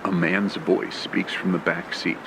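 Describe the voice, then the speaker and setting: Slow, low, gravelly man narrating horror. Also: flat delivery. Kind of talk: scripted first-person horror narration in old radio play style. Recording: clear background noise with ambience and vehicle sounds. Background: rain, ambience and vehicle sound effects.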